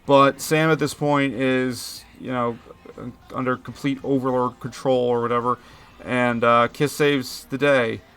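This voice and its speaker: A man's voice: mid-range voice, fairly even intonation, unhurried, fairly bright tone, smooth. Jokey man recapping a movie. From Philadelphia area, US. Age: 30s. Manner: jokey, punny, chatty. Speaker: movie commentary host. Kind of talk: casual movie recap commentary.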